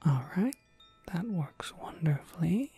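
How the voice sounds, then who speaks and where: A gentle witch shopkeeper, acted by a woman, whispers in an ASMR roleplay. The voice is very breathy and fairly low, with slow, unhurried phrasing. The manner is polite, attentive and softly reassuring. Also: very animated, soft background music, exaggerated voice. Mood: helpful, explaining.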